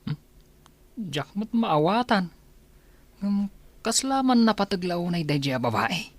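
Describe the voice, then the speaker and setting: A man's voice: high-pitched, big pitch swings, measured pace, no room sound. A man playing a gossipy, bantering driver for a rich household in a conversational audio drama. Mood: musing.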